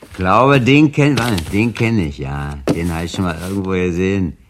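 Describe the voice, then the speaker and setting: An actor playing a cocky, cowardly small-time crook and informant in a German radio crime drama, boastful, slangy and whining. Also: male voice, low-pitched, normal speed, background noise, natural voice. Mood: excited, gossipy.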